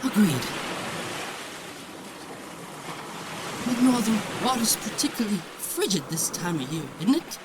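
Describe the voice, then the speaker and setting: A woman's voice: mid-range voice, sing-song, fairly quick, close to an everyday voice. A woman playing a chatty, bumbling junior lieutenant in an audio drama, rambling and cheekily deferential. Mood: alert. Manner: rambling, breezy, mock-deferential. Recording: loud vehicle-like ambient noise.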